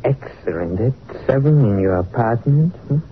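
A man's voice: medium pitch, animated, moderate pace, natural voice. An actor playing a small, dapper Levantine blackmailer in a 1940s radio drama, unctuous and formal, his politeness carrying a veiled threat. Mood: sly, courteous. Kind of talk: 1940s radio villain acting. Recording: tinny, noisy old radio recording.

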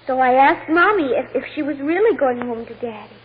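A woman's voice: high-pitched, lively intonation, fairly quick, husky, full character voice. A woman playing a small, innocent, hesitant child witness in an old-time radio drama, talking simply. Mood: reluctant, innocent. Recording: dull, noisy vintage radio recording.

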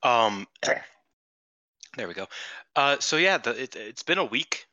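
A man's voice: medium pitch, very animated, slow, pushed and bright, no room sound, dull audio. A man co-hosting a podcast, congested, chatting casually and rambling with lots of fillers and the odd swear word. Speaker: podcast co-host. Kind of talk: casual chat.

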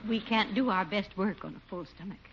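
An actress playing a prim, relentlessly efficient secretary in a 1940s radio sitcom, lecturing and correcting in a brisk way. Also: female voice, mid-range voice, natural voice. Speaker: secretary character. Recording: muffled 1940s radio broadcast, faint hiss.

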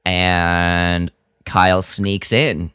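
A man's voice: deep voice, level tone, very slow, pushed and bright, clear, resonant tone, no room sound, clean studio sound. A man co-hosting a podcast in casual review chat, exasperated and critical, slipping into mock quotes as he goes. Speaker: podcast co-host.

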